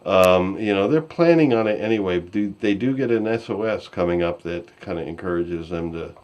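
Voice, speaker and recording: A man hosting a casual podcast discussion over an online call, speaking in a halting, scattered, self-deprecating way. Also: sits low, a little mellow. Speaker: podcast host. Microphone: online call microphone. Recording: voice call with intermittent distortion.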